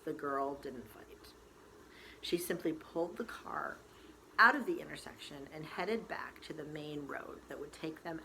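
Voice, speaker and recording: A woman storyteller reading a novel aloud in expressive, dramatic narration with deliberate emphasis. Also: low voice, low-quality recording. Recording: faint background noise.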